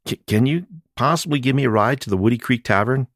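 A man's voice: medium pitch, fast talker, a bit bright, no echo, clean studio sound, pitched above the performer's own voice, close to an everyday voice. Wry, weary male investigator, read by a man. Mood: hesitant request. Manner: wry, casual, sardonic.

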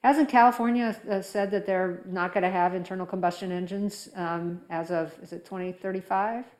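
A woman hosting a conversational interview, phrasing her thoughts in a halting, thoughtful way with frequent pauses. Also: mid-range voice, steady tone, darkish tone. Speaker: interview host.

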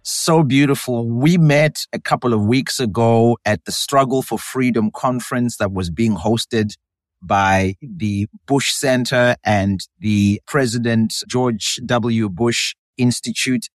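A man with a Zimbabwean accent hosting an interview podcast, speaking in an earnest, measured and emphatic way. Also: medium pitch, no echo, clean studio sound. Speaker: podcast host and democracy activist.

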